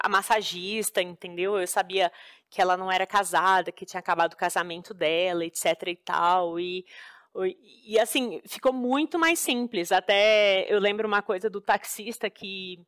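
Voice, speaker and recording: Woman co-host, mid-pitched, chatty and animated, dry close recording. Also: no echo. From Brazil. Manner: chatty, interjecting, animated storyteller. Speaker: podcast co-host. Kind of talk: casual storytelling chat.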